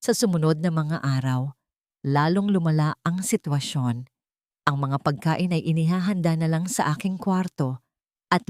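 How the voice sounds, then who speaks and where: A woman's voice: sits low, darkish tone, dry recording, studio quality. A woman reading a scripted first-person dramatic story as its narrator.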